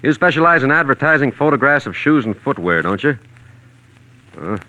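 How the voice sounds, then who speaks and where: An actor playing a shrewd private detective in a 1940s radio crime drama, asking questions in a clipped, colloquial way. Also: male voice, medium pitch, sing-song, moderate pace, pushed and bright, dull audio. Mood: probing. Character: shrewd, brisk male private detective for hire. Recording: vintage 1940s radio recording.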